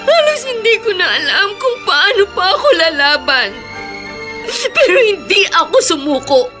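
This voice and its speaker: A woman's voice: very high voice, sharp tone, full character voice. A woman acting a wounded but resilient young woman in an emotional, tearful radio drama style. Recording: music behind studio drama voice.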